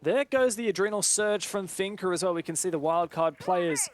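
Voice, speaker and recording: A man's voice: high voice, normal speed. A man giving live esports commentary in a chatty, joking, conversational way. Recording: game gunfire and clicks behind voice. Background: game sound with gunshots.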